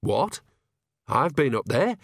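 A man voicing a young woman, a sensible witch with a sharp, reproachful, matter-of-fact manner in a storybook. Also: medium pitch, big pitch swings, takes it slowly, vocal fry, no echo, studio quality, exaggerated voice. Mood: protesting.

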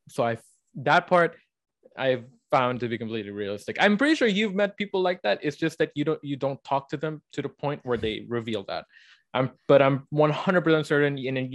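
A man's voice: medium pitch, brisk. Young man casually debating a book. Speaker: podcast co-host.

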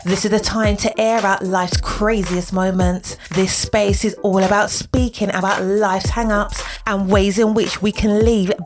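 A woman's voice: medium pitch, little pitch movement, unhurried, few pauses. Woman announcing a podcast intro. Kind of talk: scripted intro narration. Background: soft music bed.

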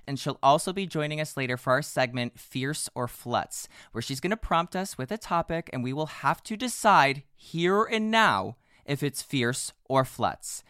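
A man with a hoarse voice co-hosting a podcast, chatting playfully and poking fun at himself. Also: on the high side, animated, sharp tone, dry recording, clean studio sound. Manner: playful, self-mocking, quick asides. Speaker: podcast co-host. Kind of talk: casual chat.

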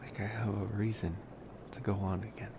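A man playing a tender, smitten young college vampire in an intimate ASMR roleplay, speaking softly and hesitantly with affectionate pauses. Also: fairly low pitch, level tone, noisy background, natural voice.

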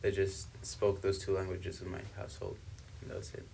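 A man's voice: low voice, completely flat delivery, a little fast, gravelly, a little mellow, slight background noise, poor audio. A young man from South Africa whose first languages are Swahili and Kibembe, chatting casually as an interview guest. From South Africa, born in Congo. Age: young adult. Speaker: podcast guest, university student.

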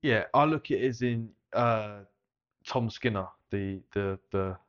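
A man's voice: on the low side, unhurried, dull audio. A man raised in Spain with an East London family, a podcast guest chatting casually and restarting his sentences often. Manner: rambling, restarts often, laughs easily. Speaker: podcast guest, financial broker. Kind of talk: casual chat.

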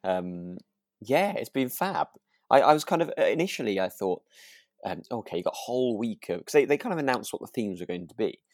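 British man chatting, lowish voice, close dry sound. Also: sing-song, fairly quick, no room sound. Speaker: podcast co-host. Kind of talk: casual chat.

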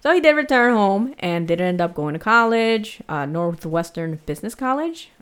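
A woman's voice: medium pitch, sing-song, normal speed, clean tone. A woman with a slight Spanish-influenced accent, co-hosting a casual true crime podcast and telling the story in a chatty way with fillers.

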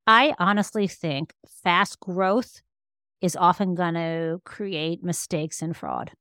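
Low-voiced woman, emphatic expert guest. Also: slow, a bit bright, clear, resonant tone, no echo, clean studio sound. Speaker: interview guest, law professor and author. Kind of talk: conversational expert explanation in a podcast interview.

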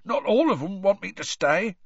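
A man voicing a huge, sentimental half-giant gamekeeper with a hoarse, croaky voice and rough rustic speech. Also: sits high, expressive, moderate pace, no echo, highs cut off, voice pushed up, full character voice. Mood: tearful, gloomy. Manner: rustic, dropped words, blunt and sentimental.

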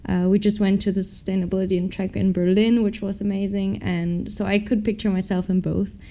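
A woman's voice: medium pitch, level tone, fairly quick, warm tone. A young woman, a former athlete now studying business, answering interview questions in a hesitant, upbeat way with many restarts. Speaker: MBA student, former professional athlete.

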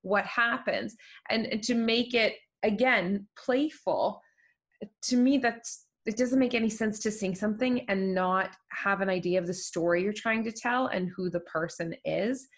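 A woman's voice: medium pitch, a little slow. A woman hosting a podcast, explaining things and giving advice in a casual chat. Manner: advising, explanatory. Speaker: podcast host.

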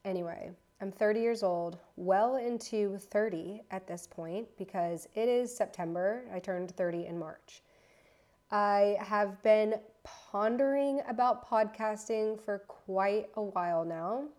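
A woman in her thirties from the United States talks casually into her podcast mic at home. She rambles, doubles back and corrects herself, with a slightly nervous edge. Her voice sits at an ordinary middle pitch. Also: unhurried, darkish tone. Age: 30s. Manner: chatty and rambling, with restarts and self-corrections. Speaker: podcast host. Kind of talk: casual solo podcast chat. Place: her apartment, indoors.

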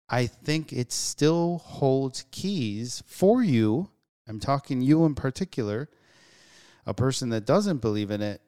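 A man's voice: mid-range voice, darkish tone, clear, resonant tone. A man hosting a solo talk show, speaking earnestly in a musing, reflective way with frequent restarts. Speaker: podcast host.

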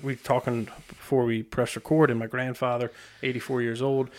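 A man's voice: medium pitch, level tone, brisk. A man with an American accent hosting a casual interview podcast in a studio. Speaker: podcast host.

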